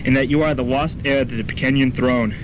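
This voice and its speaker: A man's voice: fairly even intonation, fairly quick, natural voice. Man playing a formal lawyer, noisy recording. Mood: formal, insistent. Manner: formal, declamatory. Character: formal, long-winded male lawyer for tiny island nation. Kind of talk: student radio comedy drama acting. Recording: noisy student radio recording with rumble.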